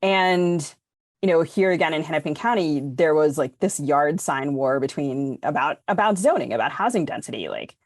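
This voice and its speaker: A woman's voice: sits low, animated, normal speed, dry recording, clean studio sound. An American woman co-hosting a podcast, talking informally and discursively as she thinks aloud. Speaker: podcast co-host. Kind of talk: casual panel discussion.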